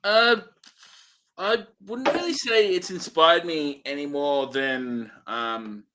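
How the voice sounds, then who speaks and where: A man co-hosting a film review show, speaking casually in a tentative, measured way. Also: a little high, noisy background.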